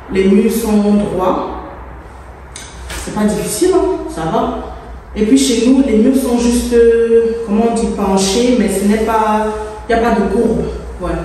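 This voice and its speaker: A Cameroonian woman whose first language is English talks casually to her vlog, musing aloud and dropping in English words. Her tone is mellow. She sounds distant from the microphone, in an empty room with long, heavy reverberation. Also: on the high side. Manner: informal, thinking aloud, slips in English words. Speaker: vlogger. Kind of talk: casual vlog chat while working. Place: empty freshly plastered room indoors. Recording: very echoey empty room, faint noise, distant mic.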